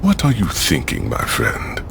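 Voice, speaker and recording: An actor playing a coldly polite, menacing crime boss in a video game, speaking formally and deliberately. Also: male voice, deep voice, wildly swooping pitch, vocal fry, noisy background, exaggerated voice. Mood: stern displeasure. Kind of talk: video game cutscene acting.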